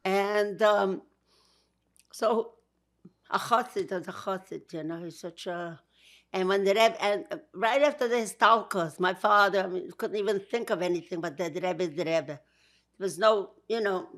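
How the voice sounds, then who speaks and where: An older woman with a Brooklyn accent telling stories from her past in a warm, chatty way. She laughs often and starts sentences over as she remembers. Her voice sits at an ordinary pitch for a woman. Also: normal speed. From Georgia. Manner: warm, with frequent laughs and restarts. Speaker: guest. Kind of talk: interview, memories.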